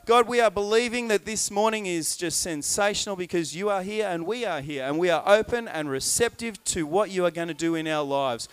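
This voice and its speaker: A man's voice: fairly high pitch, smooth, no room sound. A man leading a church service as pastor, giving chatty, jokey announcements and a prayer. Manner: jokey, chatty, breaks off into asides.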